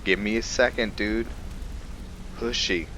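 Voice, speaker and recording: A man's voice: fairly low pitch, steady tone, measured pace, bright, forceful tone, a little hiss or hum, low-quality recording. A man hosting a gaming video, giving casual commentary and role-play remarks as he plays.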